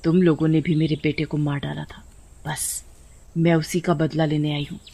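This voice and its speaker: A woman's voice: sits low, little pitch movement, normal speed, creaky, warm tone, husky, pitched below the performer's own voice, exaggerated voice. Woman voicing a menacing, vengeful giant female serpent. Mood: bitter vengeance. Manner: menacing, taunting, gloating. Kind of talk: Hindi horror cartoon story acting.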